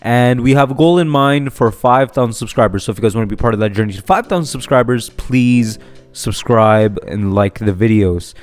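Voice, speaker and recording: A man's voice: medium pitch, moderate pace, faint music behind. A man hosting an unscripted fan theory video, rambling with lots of fillers and tag questions, his voice a little off from a flu and sore throat. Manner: rambling, many fillers and "right?" tags. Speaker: YouTube channel host.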